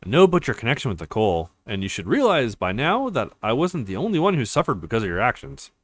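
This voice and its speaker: A bitter young woman fighter from a visual novel, read by a man in his male register. The delivery is blunt and reproachful, with pitch that rises and falls freely. It is recorded dry. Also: very animated, quick pace, no room sound.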